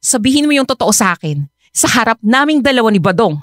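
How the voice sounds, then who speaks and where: A wronged woman who supports her household. Her voice is high and she speaks with confrontational, demanding emphasis. It is melodramatic radio acting. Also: very animated, fast, fairly bright tone, dry recording, clean studio sound. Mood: suspicious, demanding. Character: angry wronged live-in partner and breadwinner older sister. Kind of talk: Filipino radio drama acting.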